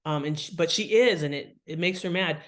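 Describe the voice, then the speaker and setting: A woman's voice: deep voice, a little fast. A woman talks as an interview guest with a writer's teaching bent. She explains in a conversational way and illustrates her points with examples. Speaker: guest author and writing teacher.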